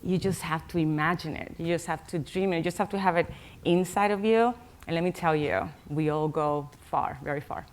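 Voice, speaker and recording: A woman's voice: low-pitched, a little fast. A woman from Puerto Rico with a Puerto Rican Spanish accent, a Spanish speaker, telling a story on a conference panel with an upbeat, laughing manner. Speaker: panelist, tech executive. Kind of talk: panel discussion.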